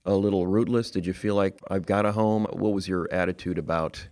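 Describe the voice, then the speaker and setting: A man's voice: deep voice, fairly even intonation, brisk, darkish tone. A man with an American accent, asking questions as host of a conversational interview. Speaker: radio show host, interviewer.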